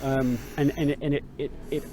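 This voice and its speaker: A man hosting a podcast interview, talking in a halting way with many repeated starts as he reflects and builds ideas aloud. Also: mid-range voice, little pitch movement, mellow, a little hiss or hum. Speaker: podcast host. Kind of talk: conversational interview.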